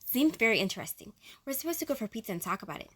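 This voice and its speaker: Woman playing a lively, friendly teenage girl. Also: on the high side, racing, slightly rough, no echo, full character voice. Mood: cheerful. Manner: chatty, eager, expressive. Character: friendly, curious teenage girl in a small town. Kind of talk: amateur audio drama acting.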